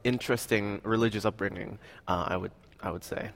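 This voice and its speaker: Young man from Indonesia, low-pitched, hesitant interviewee with reverb. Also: steady tone, a little fast. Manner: hesitant, many fillers. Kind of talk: casual conversation.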